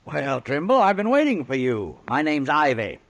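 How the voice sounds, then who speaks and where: A man playing a smooth, scheming American businessman villain in an old-time radio western, smug and falsely courteous. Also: a little high, wildly swooping pitch, normal speed, no echo. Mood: confident, pushy.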